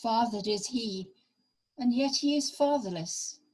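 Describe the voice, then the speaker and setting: A woman plays an abandoned noblewoman in a verse radio drama. Her voice is husky and fairly high, and she speaks slowly, bitter and anxious. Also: darkish tone, poor audio. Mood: mournful, teasing. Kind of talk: amateur Shakespearean radio play.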